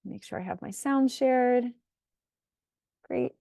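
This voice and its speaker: A woman moderating an online seminar, introducing a video in a brisk, polite way. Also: a little high, big pitch swings, gravelly, mellow, no echo, studio quality.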